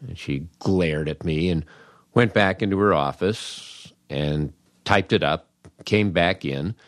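Deep-voiced American man telling wry stories. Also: no room sound, studio quality. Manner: wry, animated, acts out dialogue with dramatic pauses. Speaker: podcast host, comedy writer. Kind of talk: humorous first-person storytelling monologue.

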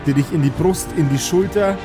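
A man narrating an improvised tabletop role-playing game as game master, in a deliberate, descriptive way. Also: fairly high pitch. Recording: background music under the voice.

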